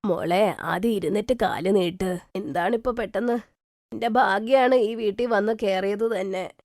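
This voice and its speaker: A woman's voice: mid-range voice, normal speed, darkish tone, no echo, studio quality, lightly acted. A woman voicing a stingy, cutting older mother-in-law who scolds her daughter-in-law. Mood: scolding. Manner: scolding, dismissive.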